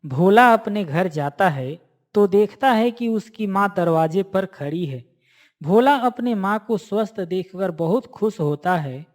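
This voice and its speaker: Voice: medium pitch, expressive, measured pace. A narrator telling a scripted moral story in storytelling style.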